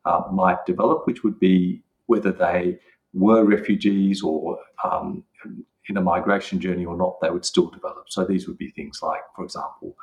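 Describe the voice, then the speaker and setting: A middle-aged man, a psychiatry professor, explains medical issues in an interview in a measured way, pausing to think as he goes. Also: low voice, fairly even intonation, a little mellow, muffled recording.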